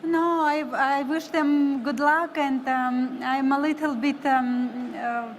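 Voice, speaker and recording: A woman on a live panel speaking halting non-native English and searching for words. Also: high voice, steady tone. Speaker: panel moderator, team member. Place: live stream stage at a busy event. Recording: noisy event floor ambience.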